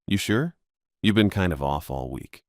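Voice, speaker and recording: Man voicing a deep, easygoing male coworker. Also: expressive, moderate pace, dry recording, studio quality. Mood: concerned.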